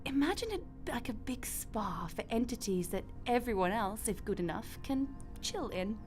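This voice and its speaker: A woman's voice: a little high, big pitch swings, moderate pace, soft background music. A woman acting in a radio drama as a breezy, glib God who runs the afterlife with chatty, flippant matter-of-factness. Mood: matter-of-fact explaining.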